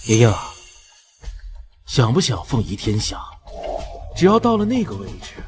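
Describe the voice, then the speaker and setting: A man's voice: mid-range voice, huge pitch swings, normal speed, over music. An actor playing a scheming, cowardly nobleman in a costume drama. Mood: soft, coaxing.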